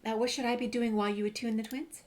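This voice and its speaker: A woman's voice: on the high side, level tone, fast, darkish tone. A woman giving casual, amused gameplay commentary, chatting to the characters as she plays. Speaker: gamer playing and commenting. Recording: commentary over noisy game ambience.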